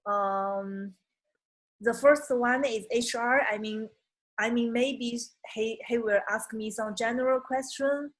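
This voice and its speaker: Woman, chinese accent, halting english, slightly husky, video call. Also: a little high, fairly even intonation, low-quality recording. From China. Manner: halting non-native English with many restarts. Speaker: job applicant. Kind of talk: conversational English practice.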